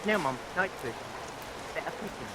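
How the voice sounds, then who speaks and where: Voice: mid-range voice, animated, moderate pace, close to an everyday voice. Actor doing a cheeky lad after work, bouncy voice, old radio play, hissy rain. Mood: cheeky, chatty. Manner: cheeky, chatty, colloquial. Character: cheeky down-on-his-luck London youth seeking work. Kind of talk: vintage radio melodrama acting. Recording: vintage radio recording, hissy rain noise.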